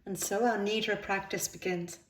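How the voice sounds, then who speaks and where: A woman leading a guided yoga nidra relaxation as the instructor, speaking in soothing, unhurried phrases with pauses. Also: medium pitch, steady tone. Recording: roomy, echoing sound.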